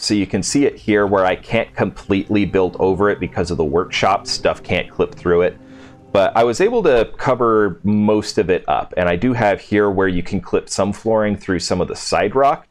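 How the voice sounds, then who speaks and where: Low-voiced man giving a casual game walkthrough. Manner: informal, unscripted, thinking aloud. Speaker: video game settlement-build host. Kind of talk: casual gameplay walkthrough commentary.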